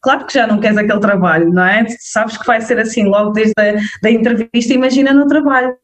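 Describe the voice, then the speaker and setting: A young woman with a northern Portuguese accent, a guest talking casually in an interview, lively and full of fillers and quick checks with the listener. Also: fairly high pitch. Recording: roomy echo.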